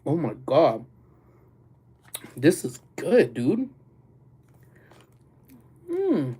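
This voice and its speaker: A man hosting a casual livestream, chatting loosely with viewers, full of fillers and quick laughs, still a little congested and nasal. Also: on the high side, wildly swooping pitch, dark tone, slightly rough. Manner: rambling, loose talk with many fillers and frequent laughs. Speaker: livestream host.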